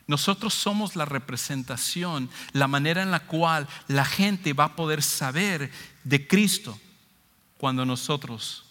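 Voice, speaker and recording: Bright-voiced Latin American preacher in echoing hall. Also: male voice, on the high side. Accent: Latin American Spanish. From Ecuador. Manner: emphatic, repeats key phrases for emphasis. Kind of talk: expository sermon to a congregation. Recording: long hall reverb.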